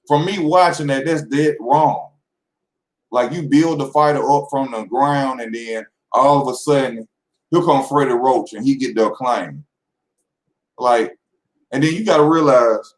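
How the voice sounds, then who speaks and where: A man's voice: mid-range voice, a little slow, pauses often. Rambling young man in an echoey room. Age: 20s. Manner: loose, rambling and slangy, addressing viewers directly. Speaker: boxing channel host. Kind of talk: casual vlog monologue.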